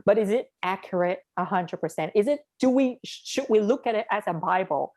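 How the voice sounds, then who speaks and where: A woman's voice: medium pitch, lively intonation, brisk, darkish tone. A young woman with a Vietnamese accent, speaking frankly and with animation in a casual conversation. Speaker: interviewee.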